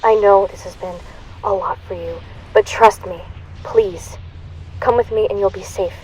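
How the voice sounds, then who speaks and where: A woman playing an urgent, protective time-travelling hero in an audio drama, emphatic and pleading, with a husky voice. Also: medium pitch, fairly even intonation, measured pace, darkish tone, slight background noise, lightly acted, poor audio.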